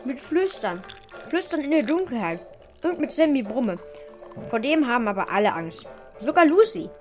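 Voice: high-pitched, very animated, moderate pace, faint music behind. A young voice playing an earnest, timid little child trying to be brave in a children's radio play.

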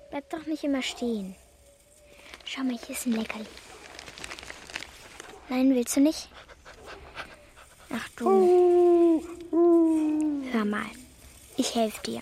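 A female performer playing a determined young girl in a children's radio play, earnest and gently coaxing. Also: a little high. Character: determined, tender young girl devoted to her dog.

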